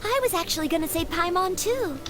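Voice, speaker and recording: A woman voicing a modest, earnest young female knight in a video game, gentle, formal and deferential. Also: very high voice, lively intonation, brisk. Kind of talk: animated video game voice acting.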